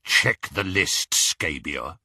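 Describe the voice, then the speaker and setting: A man voicing a savage male werewolf villain in an audiobook, rasping and growling, gloating slowly and leering with menace. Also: deep voice, sharp tone, no room sound, voice pushed down, full character voice. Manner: slow, savouring, snarling.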